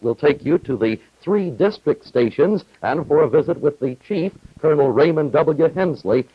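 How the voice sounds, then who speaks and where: A man's voice: mid-range voice, husky. A man narrating a scripted 1950s local television news report as its reporter. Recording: dull, roomy 1950s television film soundtrack.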